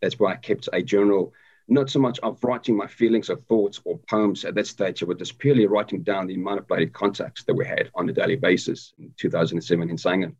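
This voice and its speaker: A man's voice: low voice, steady tone, fast, a little mellow, husky. A man from Africa, a guest telling his story in a casual interview, hesitant with many ums and restarts. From South Africa. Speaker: guest, army veteran. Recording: dull lo-fi sound.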